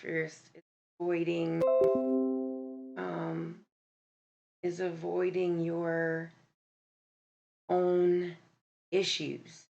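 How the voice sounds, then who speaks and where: A woman hosting a live stream speaks in a low, gravelly voice at an unhurried pace. She talks bluntly and candidly, stopping often on ums and restarting her sentences. The sound is dull and lo-fi, as from a laptop mic. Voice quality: deep voice. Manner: candid, blunt, self-correcting, with many ums. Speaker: livestream host. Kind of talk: casual live-stream talk about mental health.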